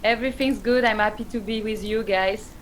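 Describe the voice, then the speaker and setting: A woman's voice: mid-range voice, fairly even intonation, brisk, crowd noise, poor audio. A woman in her thirties from France with a French accent, a native speaker of Arabic and French, answering as an interview guest and searching for words. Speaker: guest, independent pop rock musician.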